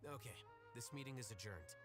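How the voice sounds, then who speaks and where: An actor playing a cool, logical young male scholar in a video game, measured and matter-of-fact. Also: medium pitch, expressive, lightly acted. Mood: dry, dismissive. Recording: game dialogue over background music.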